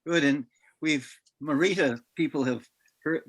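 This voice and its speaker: A man hosting a roundtable, giving informal introductions in a somewhat halting way. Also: a little high, fairly bright tone, no room sound. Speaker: roundtable host. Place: online video meeting.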